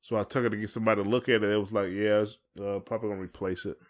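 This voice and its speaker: A man's voice: fairly low pitch, level tone, very fast. An American man co-hosting a podcast, talking in a casual, informal and colloquial way. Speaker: podcast co-host. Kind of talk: casual conversational chat.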